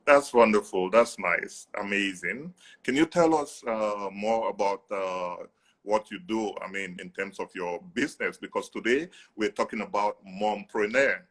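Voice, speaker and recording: A man's voice: lowish voice, unhurried. A man hosting a live video call interview, speaking hesitantly with frequent fillers. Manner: hesitant, many "uh" fillers, warm praise. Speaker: host.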